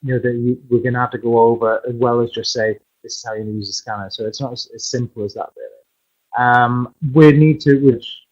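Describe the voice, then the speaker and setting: Loud, halting male presenter on a dull, muffled webinar feed. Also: medium pitch, fairly even intonation. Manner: hesitant, many fillers and restarts. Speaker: course presenter. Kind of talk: live online course introduction.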